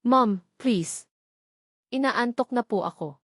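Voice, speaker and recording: A woman's voice: a little high, lively intonation, slow, dry recording, studio quality. A woman voicing an assertive, self-assured young rich woman driving a bargain in an audiobook story. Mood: self-assured, demanding.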